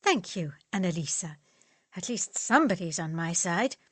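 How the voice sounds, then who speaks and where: A woman voicing a calm, earnest young male student who tries to keep the peace, speaking in a measured, soft way. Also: on the low side, no room sound.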